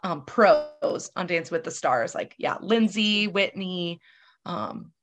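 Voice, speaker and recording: A woman's voice: lowish voice, lively intonation, slow pace. Woman, podcast co-host, rambling, laughs a lot, casual, video call. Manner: chatty, rambling, laughs often. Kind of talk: casual conversational interview.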